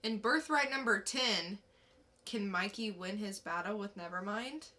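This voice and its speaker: Unhurried, bright-toned woman podcast co-host. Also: mid-range voice. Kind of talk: casual comic book review, reading blurbs.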